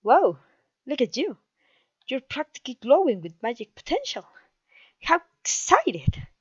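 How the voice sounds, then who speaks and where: Girl doing a cute clumsy witch teacher for asmr, highish voice, mexican accent, kindly and theatrical, really dry sound. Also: very animated, unhurried, husky, dry recording, dull audio, full character voice. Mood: delighted, encouraging. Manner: theatrical, genteel, encouraging. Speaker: voice actor. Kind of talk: ASMR roleplay acting.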